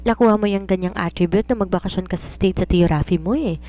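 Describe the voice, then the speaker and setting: A woman's voice: lowish voice, fast, a little mellow, close to an everyday voice. A woman voicing a doting, nagging widowed mother and businesswoman, mixing Tagalog and English in an affectionate scolding way. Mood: stern, scolding. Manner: Taglish, scolding yet affectionate.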